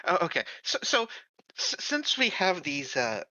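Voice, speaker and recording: Halting, bright-voiced man, lively pitch. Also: dry recording, low-quality recording. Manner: halting, stammering, repeats first syllables. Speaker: tabletop roleplaying game player. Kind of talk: improvised in-character tabletop roleplay.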